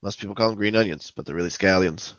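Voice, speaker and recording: A man acts out a stern detective from a spoof 1950s radio police drama. His low voice is deadpan and clipped. The sound is dry and a little dull. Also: fast, no room sound.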